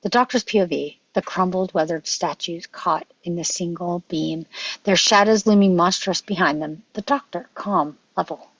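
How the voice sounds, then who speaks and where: A woman's voice: fairly low pitch, moderate pace, fairly bright tone, slightly rough, no room sound. A woman reading stage directions as dramatic scripted narration at a table read. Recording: dull, dry lo-fi microphone.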